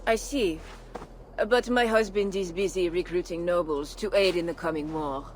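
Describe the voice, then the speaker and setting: A woman's voice: fairly low pitch, moderate pace, slight background noise, close to an everyday voice, low-quality recording. A woman acting a haughty, suspicious noblewoman in a video game, formal and condescending in her delivery.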